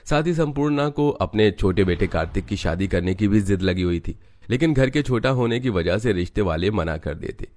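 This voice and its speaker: A man's voice: on the low side, animated, moderate pace, darkish tone. A man narrating a scripted cartoon family story as its storyteller.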